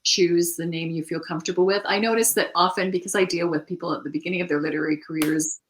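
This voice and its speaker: A middle-aged woman co-hosting a casual chat about writing, animated and quick to laugh, often restarting her thoughts. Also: fairly low pitch. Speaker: podcast co-host.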